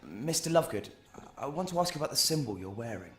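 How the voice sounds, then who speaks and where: An actor playing an earnest, determined young British wizard, speaking urgently in a dramatic game scene. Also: male voice, mid-range voice, very animated, fast talker, a bit bright. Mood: polite, inquiring. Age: young adult. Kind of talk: dramatic film-style game acting.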